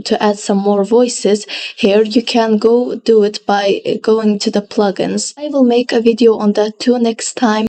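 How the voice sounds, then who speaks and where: Female tutorial presenter, somewhat high-pitched, casual step-by-step software narration.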